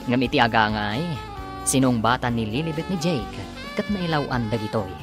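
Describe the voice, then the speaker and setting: A man's voice: mid-range voice, moderate pace. Theatrical male radio drama announcer over music. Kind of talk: dramatic scripted narration. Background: theme music.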